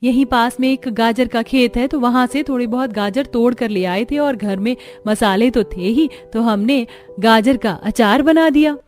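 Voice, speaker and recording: A woman acting an expressive, chatty young village daughter-in-law in a cartoon story. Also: on the high side, moderate pace, faint music behind, close to an everyday voice. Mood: cheerful.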